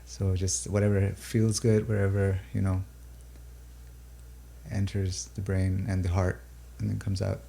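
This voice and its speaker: A man from Pakistan and the UAE, native Urdu speaker, talking thoughtfully about his music in a halting, filler-filled way. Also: low-pitched, little pitch movement, vocal fry, warm tone. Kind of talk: reflective interview talk.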